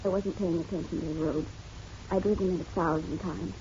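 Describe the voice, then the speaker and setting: An actress playing a distraught, guilt-ridden wife in a melodramatic radio drama, halting and emotional. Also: female voice, on the low side, steady tone, dark tone, lightly acted. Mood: sorrowful confession. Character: distraught, guilt-ridden married woman confessing to a detective. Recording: dull lo-fi radio drama, faint noise.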